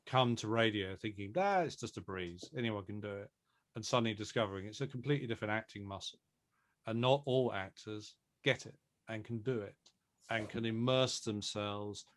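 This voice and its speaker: Lowish-voiced man, hesitant delivery. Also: moderate pace. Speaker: audio drama producer being interviewed. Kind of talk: conversational interview talk.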